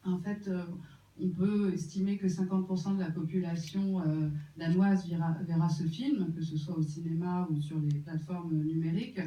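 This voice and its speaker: An interpreter translating a film Q&A, halting and full of fillers, recorded from afar in a cinema. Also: medium pitch, little pitch movement, warm tone, a little hiss or hum. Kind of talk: consecutive interpreting. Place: cinema auditorium. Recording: muffled, echoey hall from far off.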